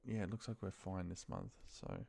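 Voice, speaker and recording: A man with a low, gravelly voice and a dark, relaxed tone. He talks himself through on-screen work in an offhand, muttered way, as an informal walkthrough. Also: normal speed, no room sound. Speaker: office worker recording a spreadsheet walkthrough. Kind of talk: informal screen-recording walkthrough, thinking aloud.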